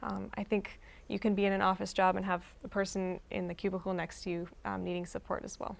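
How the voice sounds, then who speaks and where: A woman's voice: medium pitch, little pitch movement, quick pace, creaky. A young woman, a nurse, answering thoughtfully and in a friendly way as a guest in a faith interview. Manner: thoughtful, friendly, with some hesitations. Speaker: guest, emergency room nurse.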